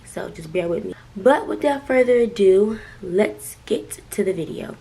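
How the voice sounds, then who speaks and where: A young woman vlogger with a raspy, hoarse voice as she loses it, chatting loosely to camera from her bedroom, full of "like" and "um". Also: medium pitch, expressive, measured pace, dark tone. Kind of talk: casual chat to camera.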